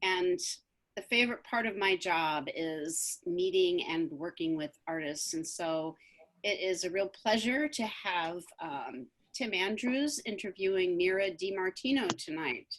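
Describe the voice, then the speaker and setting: A woman with a lowish voice welcomes everyone to an online event as its director. She is friendly and speaks with many fillers. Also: expressive, a little slow, rough recording. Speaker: artistic director, host. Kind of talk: welcome introduction over video call. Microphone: video call.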